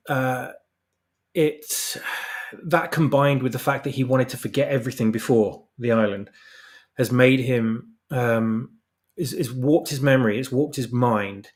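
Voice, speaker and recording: A man speaking as a guest author in a casual interview, explaining haltingly and repeating words as he goes. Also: mid-range voice.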